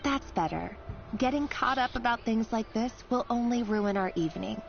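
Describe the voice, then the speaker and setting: A woman's voice: fairly high pitch, moderate pace, gravelly. A woman voicing a tender, playful young sweetheart in a video game, coaxing and affectionate. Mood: playful, teasing. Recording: slightly dull game audio with music.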